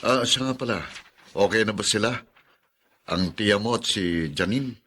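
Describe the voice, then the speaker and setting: An inquisitive Filipino colleague in a radio drama. His voice is fairly low yet bright, casually probing, with a faint noise behind it. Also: measured pace. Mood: friendly, probing. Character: friendly, inquisitive male workmate.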